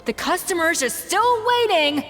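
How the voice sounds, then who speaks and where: A woman playing a stern, exacting young head chef in a video game, brisk and commanding but secretly caring. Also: very high voice, animated, a bit bright, big-room sound, faint music behind, exaggerated voice. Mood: stern, scolding.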